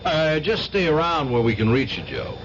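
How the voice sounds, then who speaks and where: An actor in a radio drama playing a methodical police lieutenant, deliberate and official as he questions a suspect. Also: on the high side, big pitch swings, a bit bright. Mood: probing, measured. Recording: muffled, noisy old radio recording.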